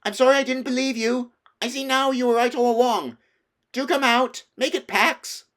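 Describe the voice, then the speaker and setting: High, boyish storybook schoolboy, acted by a man, petulant and awkward. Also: fairly even intonation, moderate pace, a bit bright. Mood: pleading. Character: sulky, awkward young schoolboy.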